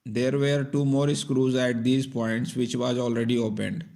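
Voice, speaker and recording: A man's voice: mid-range voice, level tone, a little slow, vocal fry, a little mellow. A man narrating a scripted technical tutorial in a methodical, step-by-step instructional manner. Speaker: repair tutorial presenter.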